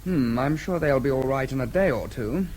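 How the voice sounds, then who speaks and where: Actor as a dry, unflappable consul. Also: male voice, mid-range voice, lively intonation, darkish tone, a little hiss or hum, lightly acted. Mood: dry, composed. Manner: formal, dry, measured. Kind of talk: radio drama acting.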